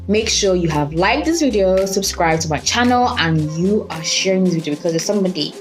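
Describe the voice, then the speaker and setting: A woman's voice: lowish voice, animated, a little fast, music in the background. A woman talking casually to camera, giving tips on speaking, who stutters on some sounds and laughs at herself. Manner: chatty, repeats "you guys", laughs at herself. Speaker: vlogger teaching speaking tips.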